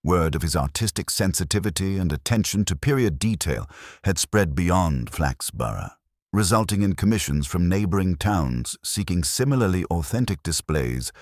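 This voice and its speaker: A man reading scripted story narration in a measured, formal way, like an audiobook. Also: sits low, sing-song, a little mellow, no room sound, studio quality.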